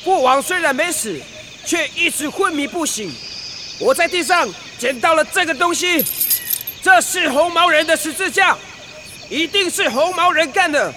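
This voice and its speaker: A man acting a very high, piercing young prince. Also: lively intonation, moderate pace, bright, forceful tone, slight background noise, full character voice. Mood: vengeful, rallying. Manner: emphatic, declamatory. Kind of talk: radio drama acting.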